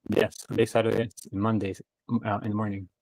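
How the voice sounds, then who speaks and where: A man chatting casually as a guest artist, relaxed and quick to laugh as he talks. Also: fairly low pitch, creaky, no echo, rough recording. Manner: relaxed, laughs easily, quick back-channels. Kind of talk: casual chat on a stream.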